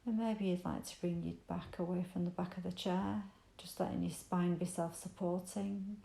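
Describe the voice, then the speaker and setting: A woman with a mellow, creaky voice guides a meditation gently and soothingly. Her delivery stays very even and invitational, with unhurried pauses between phrases. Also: lowish voice, echoey room. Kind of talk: guided body scan meditation.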